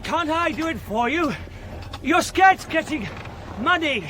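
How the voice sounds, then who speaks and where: Courteous young male stranger. Bright, very high voice, faint outdoor noise. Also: expressive, measured pace, full character voice. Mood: concerned. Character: polite, eager young male stranger offering help. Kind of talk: radio drama acting.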